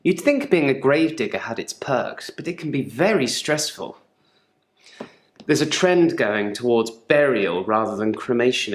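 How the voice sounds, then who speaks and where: A male actor plays a troubled gravedigger talking as a therapy patient in a video game. He is articulate and guarded, with a dry, sardonic wit. The voice sits at a medium pitch in a clearly echoey room. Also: lightly acted. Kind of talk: naturalistic acted monologue in therapy session.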